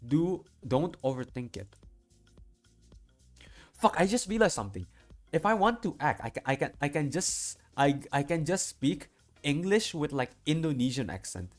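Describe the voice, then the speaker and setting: A man's voice: on the high side, big pitch swings, normal speed. A man streaming to a chat, reading game dialogue aloud and commenting in a casual, rambling way, often repeating himself and stumbling. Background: background music.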